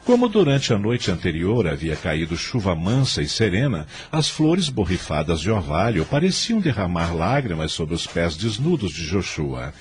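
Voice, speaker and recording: Low male narrator reading a radio drama story, measured but really animated intonation.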